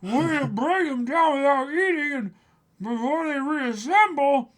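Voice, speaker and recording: A man's voice: extremely high pitch, expressive, measured pace, pitched above the performer's own voice. A man voicing a hungry, weary young pirate hero in an amateur manga dramatic reading, exclaiming and whining. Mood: strained, weary.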